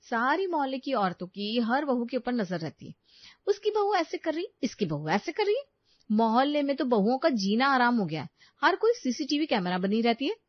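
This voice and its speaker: A woman's voice: fairly high pitch, very animated, normal speed, no echo, lo-fi sound. A woman playing a young working daughter-in-law in a cartoon story, chatty, polite and given to complaint. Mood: exasperated.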